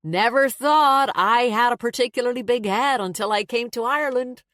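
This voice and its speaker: An easygoing young man from a novel, voiced by a woman narrator. He talks casually and jokingly, a little lifted in pitch, in a dry, close recording. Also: sing-song, a little slow, fairly bright tone, no echo. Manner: casual, conversational.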